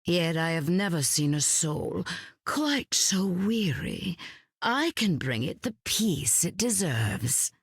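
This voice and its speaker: Imperious, husky queen of the dead. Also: on the low side, big pitch swings, slow, dry recording, studio quality, heavily acted. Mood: silky, condescending. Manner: grand, theatrical, condescending. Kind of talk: video game voice acting.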